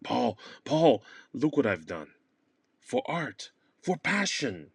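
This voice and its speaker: A man's voice: mid-range voice, sing-song, takes it slowly, gravelly, breathy edge, no echo, clean studio sound, voice pushed up, full character voice. A man playing a manic, lovelorn painter in a stage comedy, melodramatic and stammering with feverish passion. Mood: frantic pride.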